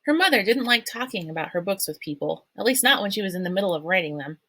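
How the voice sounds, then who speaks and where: Woman reader, children's novel read-aloud, steady storytelling, dry sound. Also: mid-range voice, fast, slightly rough, dry recording.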